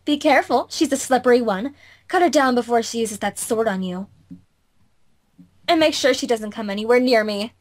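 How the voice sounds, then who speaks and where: Woman voicing a bratty, shrill girl sorceress. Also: normal speed, lightly acted. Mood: commanding. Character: bratty, haughty young girl sorceress, gleefully vicious. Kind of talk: visual novel fandub, anime-like acting.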